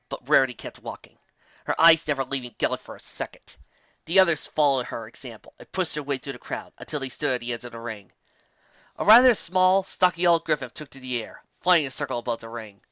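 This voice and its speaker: A woman's voice: extremely low pitch, fairly bright tone, no room sound. A woman narrating a scripted story expressively as a storyteller. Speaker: storyteller, reader. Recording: slightly dull, dry home recording.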